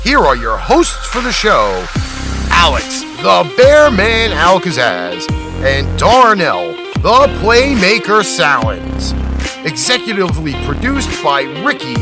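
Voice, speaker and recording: Show intro announcer: dramatic sports hype read, very swooping pitch, unhurried, music underneath. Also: wildly swooping pitch. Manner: dramatic, drawn-out announcing with countdown. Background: music bed.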